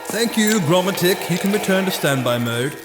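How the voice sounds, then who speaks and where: An actor playing a pompous, imperious scientist in a fantasy audio drama, speaking formally and precisely as if dictating. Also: male voice, high voice, animated, moderate pace. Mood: smug. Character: pompous male mad scientist, clinical, imperious, self-important. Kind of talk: full-cast fantasy audio drama acting. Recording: roomy echo with music behind.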